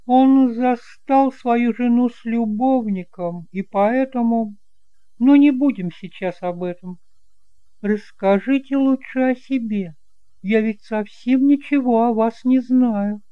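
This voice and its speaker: Man as a middle-aged shopkeeper aunt, high raised voice, gushing, condescending, theatrical, audio drama. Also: takes it slowly, clear, resonant tone, no room sound, phone-quality sound. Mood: evasive, prying. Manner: theatrical, exclamatory.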